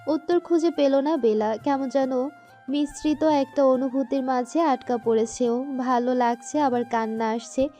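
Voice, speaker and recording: A woman's voice: high voice, measured pace, warm tone, soft background music. A woman narrating a scripted romantic story.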